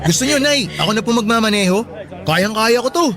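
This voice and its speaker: Dutiful student son for radio drama: high-pitched, gentle, earnest, deferential. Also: male voice, animated, fairly quick, soft background music, some chatter behind. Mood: earnest, grateful. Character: respectful, caring college-student son, earnest and worried. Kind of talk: Filipino radio drama acting.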